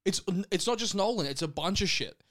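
Man, podcast co-host, higher pitch, animated ranting, swears freely. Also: brisk. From Australia. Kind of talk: casual banter.

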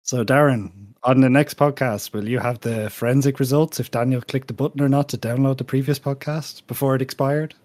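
A man's voice: medium pitch, steady tone, brisk, hardly any pauses, darkish tone. A man hosting a casual podcast with friends, talking in a dry, wry way. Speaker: podcast host. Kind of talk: casual bantering podcast chat.

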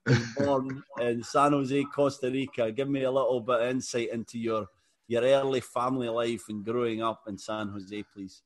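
A man's voice: medium pitch, level tone, moderate pace. A man hosting an interview podcast, friendly and upbeat, calling his guest mate. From Scotland. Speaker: podcast host, former footballer. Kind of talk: casual interview chat.

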